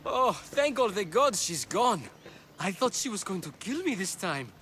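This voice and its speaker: Flustered Greek healer's helper, game character, earnest, high-pitched, swooping intonation. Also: male voice, sing-song, normal speed, fairly bright tone, slight background noise. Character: anxious, earnest male assistant to a Greek physician. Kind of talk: video game cutscene acting.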